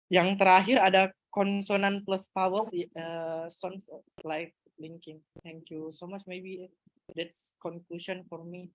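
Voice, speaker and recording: Slow, hesitant woman reading notes. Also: lowish voice, dry recording, poor audio. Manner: hesitant, halting reading with many fillers. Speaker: student moderator. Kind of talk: reading a lesson summary.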